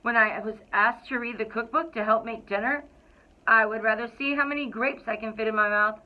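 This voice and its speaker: A woman reading a children's picture book aloud to school students in an expressive, deliberate storytelling way. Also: on the high side. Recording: thin, phone-like narrow-band sound.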